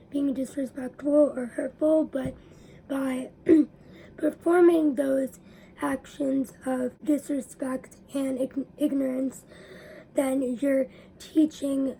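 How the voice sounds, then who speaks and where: A young woman speaks to her viewers in a raspy, high voice with a very dark, mellow tone. She explains things earnestly, and now and then a word fades into a whisper. A faint hiss of room noise sits underneath. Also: rough recording. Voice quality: raspy from allergies. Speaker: vlogger. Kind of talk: personal talk to camera.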